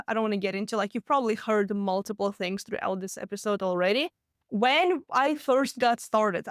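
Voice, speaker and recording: A woman's voice: mid-range voice, clear, resonant tone, no room sound, clean studio sound. A woman in her late twenties from Latvia with a Russian accent, hosting a solo business podcast and telling her own story candidly, with frequent laughs. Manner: candid storytelling, quick and chatty, laughs often. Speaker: podcast host.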